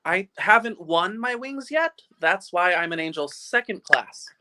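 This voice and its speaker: A man's voice: high voice, animated, measured pace, very noisy. Radio play angel: male actor as ancient but guileless heavenly helper, earnest and quaint. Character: innocent, earnest 293-year-old second-class guardian angel. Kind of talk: old-time radio play live reading.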